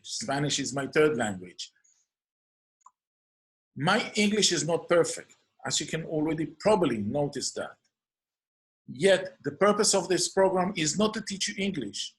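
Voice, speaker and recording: An earnest man with an Israeli accent, his first language Hebrew, hosts a solo introduction to his program. His voice sits a little high, and he strings out phrases in lists of near-synonyms. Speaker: host.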